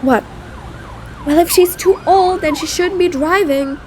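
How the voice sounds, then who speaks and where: Female supervillain for audio roleplay, played by a woman: high voice, sarcastic, mean yet patient, grudgingly soft. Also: measured pace, slight background noise. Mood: irritated, ranting. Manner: sarcastic, dry, exasperated. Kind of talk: audio roleplay acting addressed to the listener.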